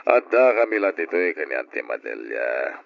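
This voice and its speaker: A man's voice: rumbling low, sing-song, normal speed, gravelly, full character voice. A man acting a kindly older uncle, a soothing family patriarch, in an Ilocano radio drama with an Ilocano accent. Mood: reassuring, tender. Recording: dull lo-fi radio drama recording.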